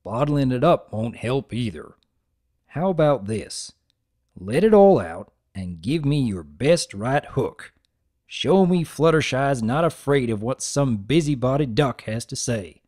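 Rustic male farm pony acted by a man: kind, folksy, plain-spoken, medium pitch, for a cartoon fan story. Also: animated, measured pace, a little mellow. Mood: encouraging.

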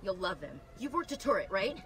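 An actress playing a brisk, confident female military officer in a video game. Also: medium pitch, big pitch swings, a bit bright, a little hiss or hum. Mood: urgent, commanding.

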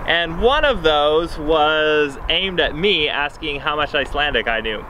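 Playful young man, lively voice. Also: a little high, unhurried, a little hiss or hum, low-quality recording. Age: young adult. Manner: playful, laughs at his own jokes. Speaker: vlog co-host. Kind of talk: casual chat to camera. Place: open field outdoors on a sunny day. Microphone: camera mic on a tripod, medium shot.

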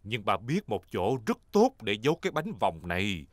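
A man's voice: fairly low pitch, moderate pace, background noise. A man playing a jolly, easygoing father pig in a dubbed preschool cartoon, with a playful fatherly manner. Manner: animated, jovial fatherly delivery. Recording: music behind the voice.

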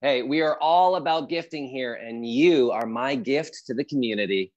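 A man performs an effusive festival hippie for a comedy read. He gushes obliviously at a middling pitch. The audio is slightly dull, like a video call. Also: normal speed, lightly acted. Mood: gushing. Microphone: earphone mic on video call.

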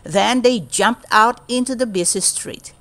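A woman storyteller reading a children's storybook aloud in a deliberate, expressive way. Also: a little high.

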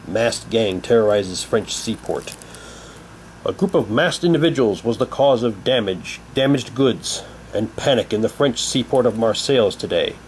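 A man giving a halting, unhurried, casual commentary as he shows and reads out items for an unboxing video. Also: lowish voice. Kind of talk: casual unboxing commentary, reading packaging aloud. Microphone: handheld camera's built-in mic. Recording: noisy home camcorder, steady hum.

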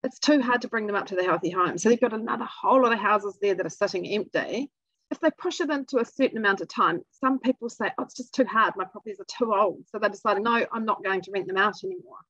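A middle-aged woman explains her points in a measured way, pausing on "um". She sits in a small indoor room with a dry sound. The recording is slightly dull. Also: mid-range voice, fairly bright tone, no echo. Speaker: executive officer of an industry group. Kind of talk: interview explanation.

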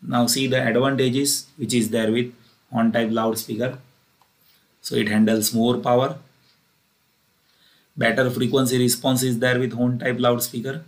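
A man's voice: mid-range voice, flat delivery, unhurried, pauses often. A man with an Indian accent giving a plain, methodical technical lecture, repeating key phrases as he explains. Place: voice-over for a screen recording.

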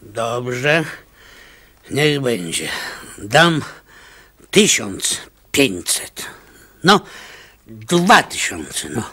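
A wheedling merchant from a radio play. He bargains in drawn-out, halting bursts, husky and sharp, his pitch swinging widely. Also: sing-song, full character voice. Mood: wheedling, pressing. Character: wheedling, haggling pearl merchant. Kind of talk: theatrical radio drama acting.

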